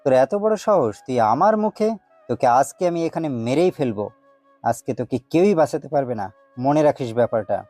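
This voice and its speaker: A man acting as an arrogant young gang boss in an audio drama. His voice is dark and mellow, and his manner is domineering and violent. He speaks in threats, over a music bed. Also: mid-range voice, animated, normal speed, no echo, natural voice. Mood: furious threatening.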